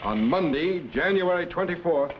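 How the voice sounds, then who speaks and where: An actor playing an authoritative scientist captain, giving orders and explaining earnestly in a 1950s sci-fi serial. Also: male voice, on the high side, animated, moderate pace, lightly acted. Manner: crisp commands, earnest explaining. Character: commanding, authoritative time-traveling scientist captain. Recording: dull vintage broadcast with faint noise.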